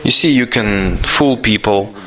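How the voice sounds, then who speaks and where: A man giving a live spoken interpretation of a question-and-answer session, halting often with restarts and fillers. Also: fairly low pitch, fairly bright tone, poor audio.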